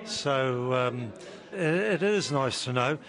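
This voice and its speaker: An older man gives casual interview answers. His pitch is average for a man, and crowd chatter is clearly heard behind him. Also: expressive, slow pace. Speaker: survey participant.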